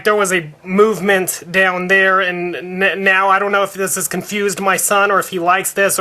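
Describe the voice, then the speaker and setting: A man acts out an indignant, devout father in a prank phone complaint. His voice sits high with a bright, sharp edge. He stammers, stalls and circles back over his grievances. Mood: flustered, suspicious. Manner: halting, stammering, repetitive. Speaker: prank caller.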